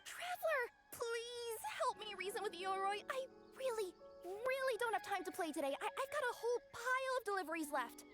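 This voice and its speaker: A woman playing a young, earnest cat yokai delivery girl in an anime video game, expressive and pleading. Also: very high voice, fairly quick, few pauses. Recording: music behind the voice.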